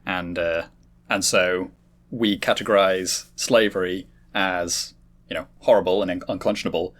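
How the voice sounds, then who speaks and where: A man's voice: low-pitched, steady tone, moderate pace, a bit bright. A man explaining his points analytically in a casual podcast discussion. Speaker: podcast panelist.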